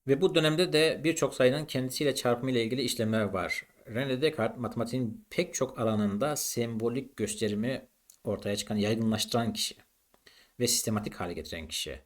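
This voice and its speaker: A man's voice: mid-range voice, brisk. A man teaching a maths lesson in an explanatory, didactic way, posing questions and then answering them.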